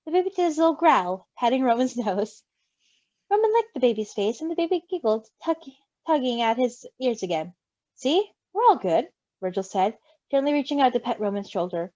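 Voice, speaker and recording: A woman reading a story aloud expressively, with an animated, giggly manner. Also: fairly high pitch, a little fast, no room sound. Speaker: story reader. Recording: slightly dull, close dry mic.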